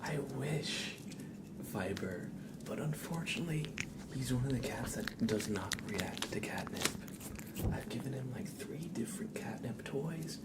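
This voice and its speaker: A man hosting a live ASMR stream in a soft, often whispered voice, chatting informally in loose, hesitant phrases. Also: medium pitch, lively intonation, a little slow, low-quality recording. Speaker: ASMR livestream host. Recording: clear background ambient noise.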